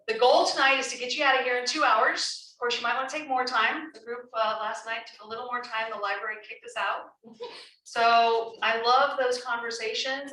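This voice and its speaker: A woman facilitating a public town meeting in a loud, brisk, self-assured way, heard from a distance in a meeting room. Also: fairly high pitch. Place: senior center meeting room. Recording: echoey room, distant mic, faint hiss.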